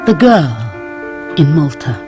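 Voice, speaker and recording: An actress in a radio drama playing an anguished middle-aged noblewoman and mother, formal and careful, with a husky, breathy voice. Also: low-pitched, big pitch swings, takes it slowly, over music, heavily acted. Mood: wounded, controlled.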